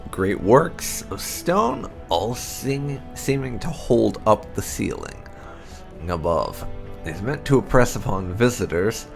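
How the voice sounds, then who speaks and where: A man narrating fantasy lore from a script in a measured storyteller's manner. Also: fairly low pitch, gravelly. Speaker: gaming channel host reading game lore aloud.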